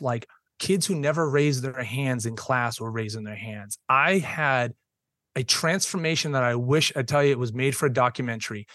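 A man's voice: mid-range voice, a bit bright, no echo. Middle-aged guy, teacher on a podcast, earnest, lots of ums and restarts, dry close sound. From Boston, USA. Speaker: public school teacher, podcast guest. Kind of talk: conversational storytelling interview.

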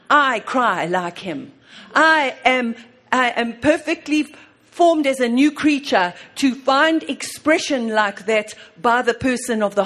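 A woman preaching a sermon on prayer, fervent and full of repeated praise, swinging between soft and loud. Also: fairly high pitch.

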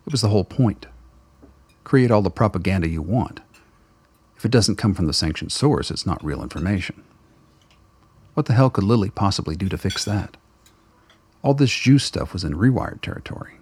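Deep husky guy narrating a radio play, steady storyteller. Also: normal speed, long pauses. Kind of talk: scripted narration.

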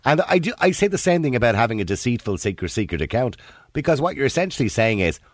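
A man's voice: mid-range voice, quick pace, no room sound. A man hosting a radio phone-in, arguing his points and cutting in on callers. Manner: animated, interrupts, argues his point. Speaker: radio show host.

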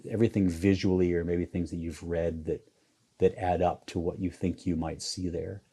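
Low, flat-voiced man in his forties. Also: husky. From Iowa, US Midwest. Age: 40s. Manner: halting, many fillers and restarts. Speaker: photographer guest. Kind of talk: reflective interview conversation.

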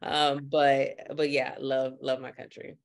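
A man's voice: mid-range voice, completely flat delivery, slow, vocal fry. A man from Miami, Florida, whose first language is Haitian Creole, speaking earnestly and upbeat as a podcast guest. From Miami, Florida, USA. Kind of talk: podcast interview.

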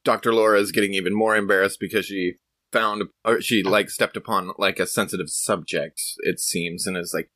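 A man's voice: deep voice, steady tone, a little fast, bright, forceful tone. A man playing a tabletop game, casually improvising a description of what his character does. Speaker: tabletop role-player.

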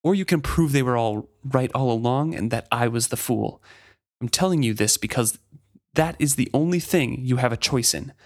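A man's voice: medium pitch, moderate pace, close to an everyday voice. A man voicing a fierce, exasperated sea captain in a fantasy storybook, with commanding, emphatic delivery and swearing. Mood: angry, commanding. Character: fierce exasperated ship captain berating his stubborn living ship. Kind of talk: dramatic reading from a fantasy novel.

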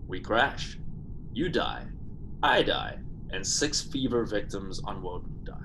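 An actor playing a grim, resigned spaceship pilot in a science fiction radio drama, terse and matter-of-fact. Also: male voice, on the low side, huge pitch swings, creaky, background noise, full character voice. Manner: terse, matter-of-fact, halting when pressed. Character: grim, resigned male spaceship pilot, regretful but unbending. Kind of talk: classic science fiction radio drama acting with first-person narration.

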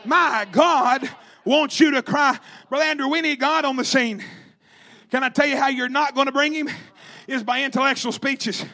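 A man's voice: very high-pitched, fairly even intonation, normal speed, pushed and bright. A man preaching a fervent revivalist sermon, emphatic and repetitive, calling out to his congregation in rhythmic exhortation. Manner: calls out to the congregation.

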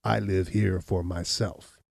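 A scornful hunchbacked landowner from a storybook, as a male narrator plays him. He sounds boastful and defiant, with a low, gravelly voice and a dark tone. His mockery is cruel and blasphemous. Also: fairly even intonation, slow, no echo, studio quality, exaggerated voice.

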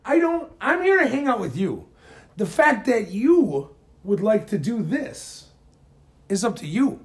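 Sardonic man with an animated, booming voice. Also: a little slow. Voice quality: booming, projecting voice. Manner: sardonic, argumentative. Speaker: podcast guest. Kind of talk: casual chat. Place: garage. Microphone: handheld phone, often held away.

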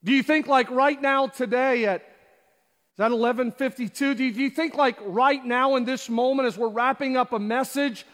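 A man's voice: very high voice, normal speed, a bit bright. A man preaching a sermon as pastor, asking the audience repeated rhetorical questions and acting out quotes. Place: church auditorium. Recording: long hall reverb.

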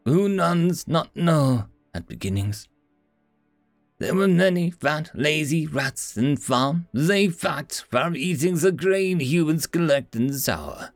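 A man's voice: a little high, animated, slow pace, dry recording, studio quality, faint music behind, voice pushed up. A man voicing a regal, proud female house cat spirit with an Eastern European accent and broken speech.

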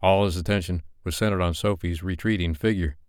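A man narrating an audiobook in a steady, measured reading style. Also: low voice, smooth, dry recording, studio quality.

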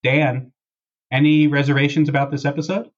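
Husky man hosting, dull earbud audio. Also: on the high side, little pitch movement, moderate pace. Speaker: podcast host. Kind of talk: casual podcast chat. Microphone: wireless earbud microphone.

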